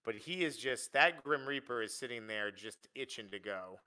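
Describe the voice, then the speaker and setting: Bright-voiced male game commentator. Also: medium pitch, expressive, moderate pace, clear, resonant tone. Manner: analytical, restarts phrases often. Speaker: tournament commentator. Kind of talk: live play-by-play commentary.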